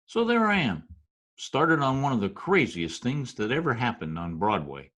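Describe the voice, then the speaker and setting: Man playing a husky, animated streetwise storyteller. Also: medium pitch, moderate pace. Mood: wry storytelling. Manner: wry, deadpan. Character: Broadway, wry streetwise New York storyteller man. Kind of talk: old-time radio drama narration and dialogue. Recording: slightly rough remote video-call audio.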